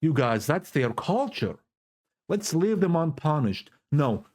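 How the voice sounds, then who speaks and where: A fervent Greek Cypriot man talks straight into his own camera and preaches like he's at a pulpit. He takes his time over every phrase, and his pitch swoops up and down with emotion. He hammers key words home by saying them twice. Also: very animated, slow pace, darkish tone. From Cyprus. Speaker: vlogger. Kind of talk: impassioned political monologue to camera. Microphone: self-recorded camera setup.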